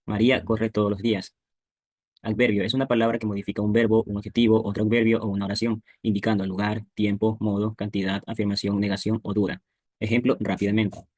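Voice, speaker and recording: Husky, monotone man reading definitions. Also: on the low side, dry recording. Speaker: presenter of a grammar lesson. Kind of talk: scripted lesson narration.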